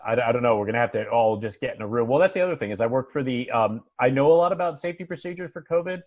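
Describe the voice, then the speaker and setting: A man's voice: mid-range voice, lively intonation, very fast, no room sound, highs cut off. An American man chatting casually on a video call in a rambling, conversational way. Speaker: actor and guest on a video call. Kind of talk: casual chat. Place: at home on an online video call.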